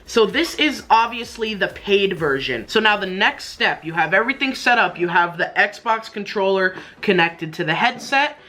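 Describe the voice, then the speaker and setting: A man's voice: high-pitched, animated, moderate pace, few pauses, bright, forceful tone, soft background music. A young man giving a casual, informal app tutorial, often repeating himself as he explains. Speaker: tutorial host.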